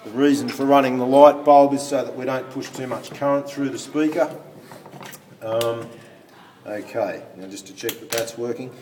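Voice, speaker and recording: A man explains a physics demonstration step by step as a teacher, haltingly thinking aloud while he handles equipment. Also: mid-range voice, darkish tone. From Australia. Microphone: phone camera some way off. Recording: distant phone recording, background hiss.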